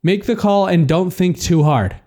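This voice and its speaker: A man's voice: high-pitched, moderate pace, dark tone, voice pushed up, close to an everyday voice. A man voicing a brash, foul-mouthed hero in an audiobook, blunt, sarcastic and insistent. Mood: pleading, stubborn. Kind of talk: audiobook character dialogue.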